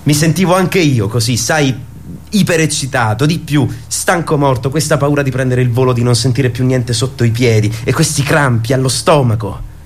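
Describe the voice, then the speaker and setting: An actor playing an excited, earnest boy who dreams of flight, in a radio theatre piece. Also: mid-range voice, moderate pace, slight background noise, close to an everyday voice. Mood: excited, nervous.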